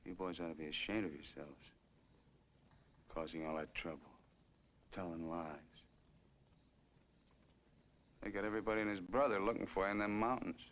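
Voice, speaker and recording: A man's voice: deep voice, normal speed, pauses often, fairly bright tone. An actor playing a menacing, mocking killer who barks orders and taunts his hostages in a 1950s crime film. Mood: mocking, sneering. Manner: barking orders, taunting, slangy. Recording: noisy old film soundtrack, vehicle sounds.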